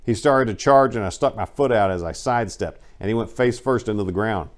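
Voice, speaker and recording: Male narrator with a lower-pitched voice for a dramatic memoir reading; wry, animated storyteller. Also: brisk. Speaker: audiobook narrator.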